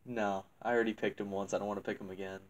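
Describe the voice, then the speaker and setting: A man's voice: lowish voice, flat delivery, racing. A young man hosting a casual chat in a small room, rambling and restarting his sentences, laughing often, picked up by a webcam across the room. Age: young adult. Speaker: channel host. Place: small dim room indoors.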